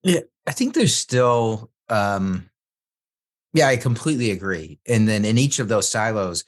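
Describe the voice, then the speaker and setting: A man's voice: lowish voice, animated, a little slow. A man hosting an interview, agreeing with his guest and building on the guest's points in easy conversation.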